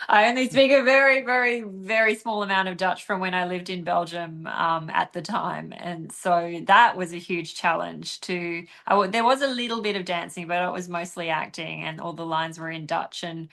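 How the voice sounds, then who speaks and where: A young adult woman answering interview questions in a relaxed, chatty way, often laughing and pausing with ums. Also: fairly low pitch, gravelly. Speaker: interviewed actress and dancer.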